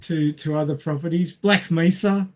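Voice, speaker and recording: A man's voice: fairly high pitch, fairly even intonation, moderate pace, heavy background noise. A man co-hosting a podcast, chatting casually about films. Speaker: podcast co-host. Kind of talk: casual movie discussion.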